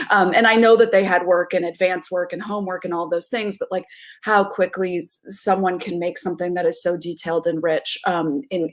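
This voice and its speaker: Effusive woman reflecting in an interview. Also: mid-range voice. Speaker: theater director being interviewed. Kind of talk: reflective interview talk over video call. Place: small room at home, video call. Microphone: video call, wearing headphones.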